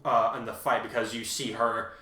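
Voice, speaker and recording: A man with a middling pitch talks casually as a host and author. He rambles and backtracks haltingly, in a noticeably echoey room. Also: little pitch movement, sharp tone, recorded from a distance. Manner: halting, rambling, repeats himself. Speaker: podcast host and fan fiction author. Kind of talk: casual chat about own writing. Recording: roomy, echoing home recording.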